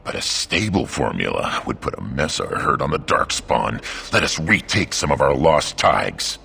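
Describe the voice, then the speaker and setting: An actor playing a mad, gruff dwarven explosives tinkerer, proud and eccentric, in a fantasy video game. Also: male voice, very animated, moderate pace, sharp tone, heavily acted. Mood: reckless, eager. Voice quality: very deep, gravelly, husky.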